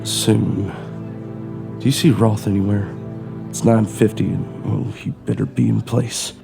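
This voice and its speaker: A tense male thief mutters tersely in a low, gravelly voice, with music clearly behind him. He sounds guarded and determined, a desperate man in an audio drama. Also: steady tone, measured pace.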